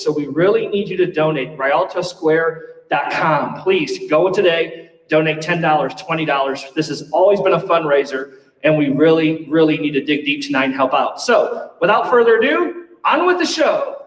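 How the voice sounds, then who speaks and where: A man speaking as a general manager, giving an informal welcome and appeal from a theatre stage. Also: a little high. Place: theatre stage without audience. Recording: echoey empty hall, distant mic.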